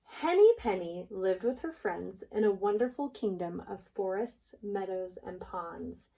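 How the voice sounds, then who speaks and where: A woman's voice: medium pitch, expressive, measured pace, gravelly, warm tone, rough recording. A woman preschool teacher reading a storybook aloud for young children, explaining things expressively as she goes.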